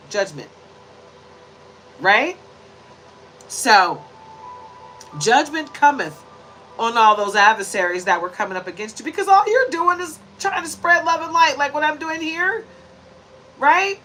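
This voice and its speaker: A woman's voice: fairly high pitch, expressive, a little slow, pauses often, sharp tone, a little hiss or hum, low-quality recording. A woman in her forties giving a chatty, preachy tarot reading to camera, handling the cards as she talks. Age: 40s. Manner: chatty and preachy, many tag questions; shuffles and lays out cards while talking. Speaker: tarot reader.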